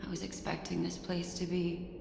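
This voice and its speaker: An actress voicing a composed, enigmatic woman in a video game, musing in a measured, cryptic way. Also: fairly low pitch, completely flat delivery, creaky. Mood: pensive. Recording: echoey reverberant space, music behind.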